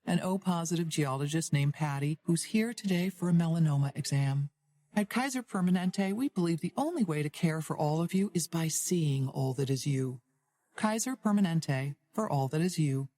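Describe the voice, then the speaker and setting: A woman with a warm, dark, fairly low voice narrates an advertisement. Her delivery is scripted voice-over reading. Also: expressive, moderate pace, slight background noise.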